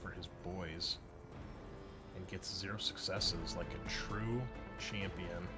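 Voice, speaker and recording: A man's voice: low-pitched, fairly even intonation, takes it slowly, music in the background. A man working as game master, narrating a tabletop roleplaying game in an informal, wry and exclamatory way.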